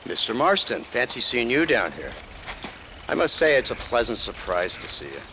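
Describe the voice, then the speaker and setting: An actor playing a smug, menacing middle-aged American government agent, mock-polite and condescending, in a game cutscene. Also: male voice, mid-range voice, sing-song, normal speed, pushed and bright, a little hiss or hum, rough recording. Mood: mock pleasant. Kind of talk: dramatic game cutscene acting.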